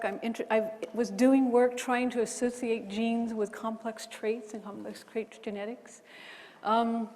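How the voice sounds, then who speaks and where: A middle-aged woman with a slightly high voice and an accent that is part American, part British. She tells her story in a candid, self-deprecating way and often restarts her sentences. Her voice carries a long reverb from a large lecture hall. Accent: mixed American and British. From Hawaii. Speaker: bioethicist panelist. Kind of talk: personal story on a forum panel.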